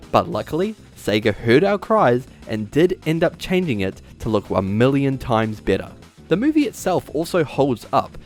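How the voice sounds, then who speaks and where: A man presenting an opinionated video essay in a casual way, partly scripted, as the host. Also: medium pitch. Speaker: YouTube host.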